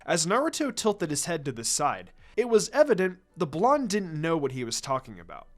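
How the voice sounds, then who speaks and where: Animated, higher-voiced man narrating a story. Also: moderate pace, soft background music. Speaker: fan fiction reader, narrator. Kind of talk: expressive scripted story narration.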